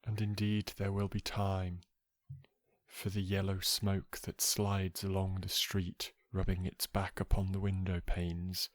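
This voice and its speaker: A man reading poetry in a soft voice, with a measured, hushed delivery and deliberate pauses, meant for relaxation. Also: low voice, monotone, dry recording. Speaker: poetry reader.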